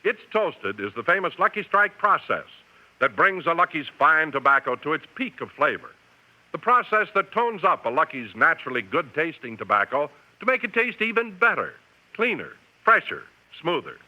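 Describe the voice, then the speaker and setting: Male radio announcer, 1950s sponsor pitchman, highish animated read, slightly muffled vintage broadcast. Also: moderate pace.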